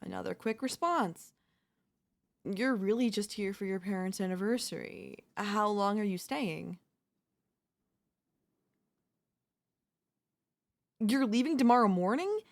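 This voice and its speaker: A woman playing a teasing, possessive young woman in an ASMR roleplay, with a smug, coy, drawn-out delivery. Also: mid-range voice, animated, vocal fry. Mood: needling, disappointed. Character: teasing, possessive young woman, former childhood bully next door.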